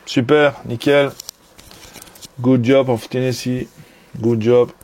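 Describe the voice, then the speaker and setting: A man's voice: mid-range voice, little pitch movement, slow, a little mellow. A man from Belgium with a Belgian French accent, showing off a knife in a casual video review, full of fillers and restarts and admiring enthusiasm. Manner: hesitant, many fillers and restarts. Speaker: knife hobbyist vlogger.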